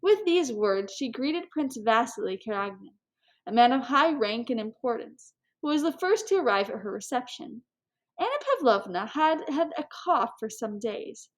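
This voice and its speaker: A woman's voice: fairly high pitch, animated, normal speed. A woman narrator reading a novel aloud in a scripted storytelling style. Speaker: reader, narrator.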